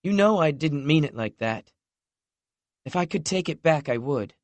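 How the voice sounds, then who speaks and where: A man's voice: lively intonation, normal speed, dry recording, close to an everyday voice. A man reading an audiobook, voicing a vulnerable, emotional woman who worries about losing the people she loves. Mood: tearful. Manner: wobbly, raised pitch, soft.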